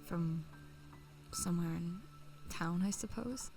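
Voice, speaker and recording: Woman playing a slow, creaky, hesitant dreamer. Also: on the low side, a little mellow. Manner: hesitant, breaking into whispers. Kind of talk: audio drama acting. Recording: music under the voice.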